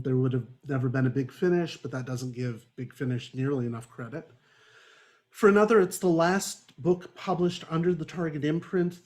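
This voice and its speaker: A man's voice: fairly high pitch, darkish tone. A man hosting a podcast, reading a prepared introduction to a book discussion with wry, dry asides. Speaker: podcast host. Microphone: online group call.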